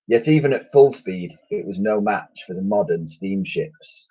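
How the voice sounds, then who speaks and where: A male teacher reading a children's book aloud, lively and expressive. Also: deep voice, unhurried, husky, lo-fi sound.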